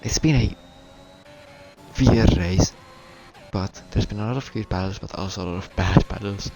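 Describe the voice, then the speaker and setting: A Finnish man commentating a sim race in English, a second language for him, with a halting, stuttering delivery. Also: on the low side, a little mellow, faint music behind, rough recording. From Finland. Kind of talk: live sim racing commentary.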